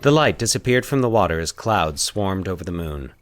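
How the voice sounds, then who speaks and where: A man's voice: deep voice, lively intonation, fairly quick, no echo. A man narrating scripted horror fiction in a dramatic storytelling style.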